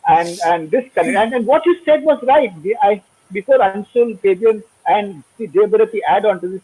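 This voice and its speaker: Host, 60s male, high husky voice, advice-giving moderator. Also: normal speed, warm tone, poor audio. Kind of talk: online panel discussion.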